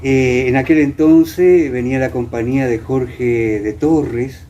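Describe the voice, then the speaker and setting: An older man with an Argentine accent from Entre Ríos, reminiscing as a guest and often pausing to find his words. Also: mid-range voice, fairly even intonation, mellow, lo-fi sound. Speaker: interview guest. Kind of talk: reminiscing in conversation. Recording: clear background noise.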